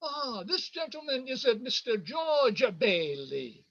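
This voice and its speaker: A man playing a warm, anxious immigrant barkeeper in a radio play, fussing in halting broken English. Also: very high voice, sing-song, gravelly, heavily acted. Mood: concerned, pleading. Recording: dull lo-fi video call audio.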